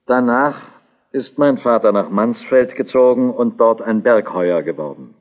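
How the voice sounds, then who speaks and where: An actor playing a devout, questioning young German student in a historical audio drama, speaking in an earnest, declamatory way. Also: male voice, medium pitch, measured pace, close to an everyday voice. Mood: plain, reflective.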